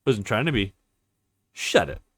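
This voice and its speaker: Man reading as sharp, scornful woman strategist. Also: on the low side, big pitch swings, unhurried, breathy edge, no echo, voice pushed down, exaggerated voice. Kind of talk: reading visual novel dialogue.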